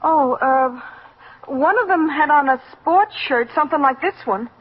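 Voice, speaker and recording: Nervous high-voiced woman witness. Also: a little slow. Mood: nervous, apologetic. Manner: hesitant, faltering. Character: nervous, apologetic female crime witness. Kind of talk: 1950s radio drama acting. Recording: noisy muffled old radio recording.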